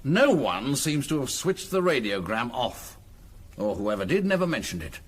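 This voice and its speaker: Shrewd British police detective, very expressive. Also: male voice, mid-range voice, husky. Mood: deducing, assured. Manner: probing, measured, authoritative. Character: shrewd senior male police detective, patient and methodical. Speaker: actor playing a senior detective. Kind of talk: radio drama acting.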